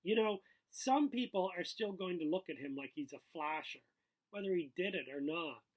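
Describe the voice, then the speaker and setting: A man's voice: high-pitched, expressive, moderate pace, low-quality recording. A man voicing an earnest, indignant teenage schoolboy from a novel, speaking emphatically and accusingly.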